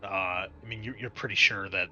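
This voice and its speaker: A man's voice: deep voice, fairly even intonation, moderate pace, pushed and bright, highs cut off, faint music behind. A man working as a game master, narrating a tabletop role-playing game in a conversational, improvised way.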